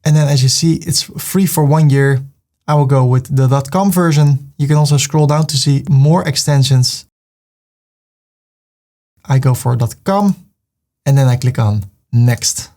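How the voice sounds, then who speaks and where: A man's voice: mid-range voice, a little slow, long pauses, mellow. A man with a Dutch accent, hosting a screen-recorded tutorial and talking through each click in an upbeat way. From Netherlands. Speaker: web tutorial host. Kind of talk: screen-recorded software walkthrough.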